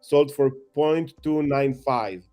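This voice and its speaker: A man with a somewhat high voice talks in excited, rambling bursts as a guest. He jokes at his own expense, and his phrasing is that of a non-native speaker. Faint music plays behind him. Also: slow pace. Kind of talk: casual chat.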